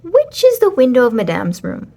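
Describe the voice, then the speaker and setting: A woman voicing an eager, decisive male mouse detective with a brisk, commanding manner. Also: high-pitched, wildly swooping pitch, vocal fry, warm tone, voice pushed up, full character voice.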